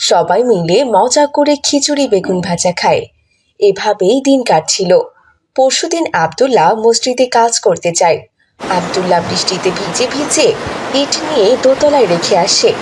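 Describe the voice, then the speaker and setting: A woman's voice: mid-range voice, background noise. A woman narrating a scripted moral story as a storyteller.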